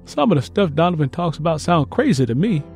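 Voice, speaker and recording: Earnest young country soldier, higher-pitched, read by a man. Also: very animated, a little fast, darkish tone, faint music behind. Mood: earnest, reflective. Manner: plain, earnest rural Southern phrasing. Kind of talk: first-person letter read in audiobook.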